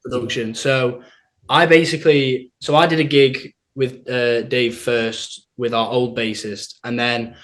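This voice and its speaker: A young man from the north-west of England with a northern English accent and an average-pitched voice. He is a chatty, jokey band member talking casually in an interview. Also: level tone, measured pace, a bit bright. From St Helens, north-west England. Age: young adult. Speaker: band drummer being interviewed. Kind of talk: casual group interview chat.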